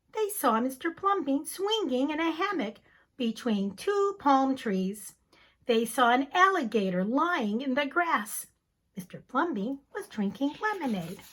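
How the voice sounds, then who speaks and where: A woman teacher giving a dramatic, expressive reading of a children's picture book. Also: high-pitched. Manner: expressive, theatrical storytelling.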